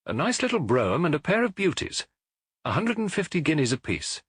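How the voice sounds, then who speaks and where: A man voicing a keen, self-assured Victorian gentleman detective in an audiobook, with a British accent and an incisive, didactic manner. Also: fairly high pitch, sing-song, fairly quick, breathy edge, no room sound, studio quality, pitched above the performer's own voice, exaggerated voice. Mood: confident, commanding. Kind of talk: audiobook character voice.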